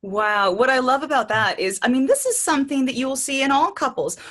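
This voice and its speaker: A woman hosting an interview, reacting with enthusiasm and thinking through her questions out loud. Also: high-pitched, expressive, a little fast. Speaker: interview host.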